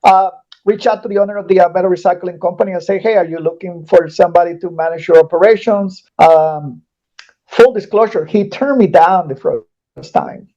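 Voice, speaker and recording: A man in his forties from Guatemala, talking through his path in a relaxed interview. His voice sits a bit high with a warm, mellow tone. The sound is dull and lo-fi. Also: normal speed. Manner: thoughtful, frequent fillers and restarts. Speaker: podcast guest, metal recycling executive. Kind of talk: casual interview conversation.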